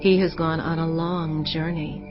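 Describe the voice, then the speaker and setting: Seductive, brazen temptress for scripture audio drama: very slow, coaxing, lowish voice. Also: female voice, flat delivery. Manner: persuasive smooth talk, slow and coaxing. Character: seductive, brazen married temptress luring a youth. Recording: muffled, music prominent behind voice. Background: prominent background music.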